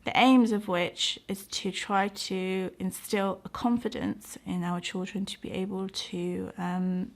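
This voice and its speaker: British woman, thoughtful, with a creaky voice. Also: mid-range voice, flat delivery, takes it slowly, few pauses. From United Kingdom. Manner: earnest, hesitant, thoughtful. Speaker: interviewee, doctor and community organiser. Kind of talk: reflective interview talk.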